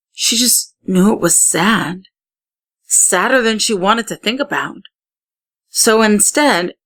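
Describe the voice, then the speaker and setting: A woman's voice: medium pitch, fairly bright tone. A woman reads a children's story aloud in a mid-range voice. She narrates expressively, giving key words emphasis as the tale goes along. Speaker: story reader.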